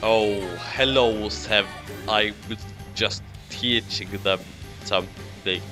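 A narrator voicing a sinister male teacher with slow, drawling, sneering menace in a comic fan fiction reading. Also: mid-range voice, fairly even intonation, music in the background, voice pushed down, natural voice. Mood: oily.

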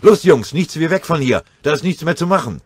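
Urgent, commanding male army sergeant for war audiobook, acted by the narrator, lifted pitch, barking orders. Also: expressive, brisk, slightly rough.

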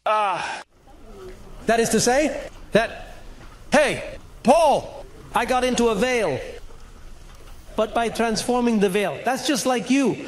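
A middle-aged man with a strikingly high voice preaches on a studio stage. He speaks into a handheld mic, animated, acting out the voices in his story and climbing to shouts. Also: slow, pauses often, slight background noise. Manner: animated, gesturing, acts out dialogue, rises to shouts. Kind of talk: sermon.

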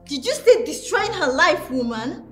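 An outraged defender in a melodrama. Her voice is extremely high and swoops in indignant challenge. Faint music plays behind. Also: sing-song, a little slow, echoey room. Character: outraged, combative female defender. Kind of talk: screen melodrama acting.

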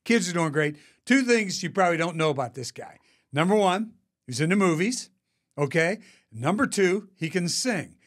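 Lively American podcast host, older man. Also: high voice, moderate pace. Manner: chummy, interjects agreement often. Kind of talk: casual interviewing. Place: small podcast studio. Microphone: close studio mic on a boom arm.

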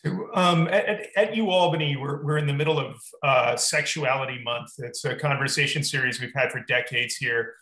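In a roomy, echoing video-call recording, a middle-aged man moderates a panel. His voice is bright and mid-pitched, and he puts questions haltingly, repeating words as he goes. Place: indoor room, on a video call. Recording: echoey room over video call.

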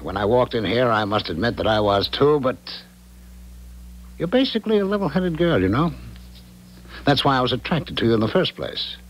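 An actor playing a smug, callous married philanderer in a 1970s radio drama, patronizing and cajoling. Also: male voice, medium pitch, sing-song, moderate pace, long pauses, poor audio. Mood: cajoling, amused. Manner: patronizing, cajoling, hesitant when cornered.

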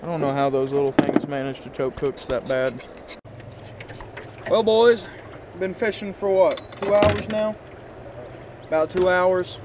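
A man's voice: high voice, measured pace, long pauses, very noisy. A young man casually vlogging a fishing trip outdoors, joking and rambling on an action camera. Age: young adult. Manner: joking, rambling, laid-back. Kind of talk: casual vlog chat. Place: outdoors on a river bank and boat.

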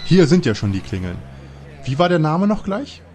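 A man's voice: fairly high pitch, very animated, normal speed, noisy background. A man playing a timid, anxious schoolboy detective in a parody detective audio play. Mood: curious.

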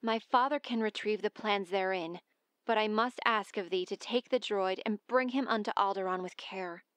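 A woman's voice: medium pitch, moderate pace, a bit bright, clean tone, no echo, lightly acted. A woman playing a noble young princess, formal and earnestly pleading, in a Shakespearean dramatic reading.

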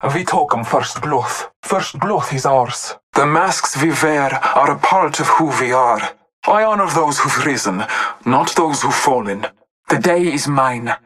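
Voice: medium pitch, a bit bright. An actor playing a devout, honor-bound masked hunter warrior in a video game, speaking in formal, solemn callouts.

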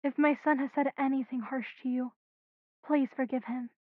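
Woman playing a high-voiced, doting queen mother. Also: fairly even intonation, normal speed, dry recording, phone-quality sound. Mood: gentle, kindly. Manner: affectionate, courteous. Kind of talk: audio drama acting.

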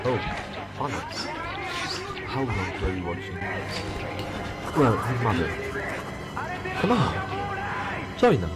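A man's voice: fairly low pitch, wildly swooping pitch, slow pace, pauses often, loud music behind the voice, full character voice. A man voicing a creepy, coaxing inmate for an amateur horror game mod.

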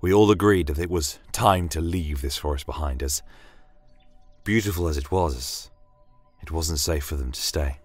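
A man narrates a fantasy story in a low voice. His delivery is solemn, measured and scripted, recorded dry with faint music behind it. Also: no echo. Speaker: storyteller narrating in first person.

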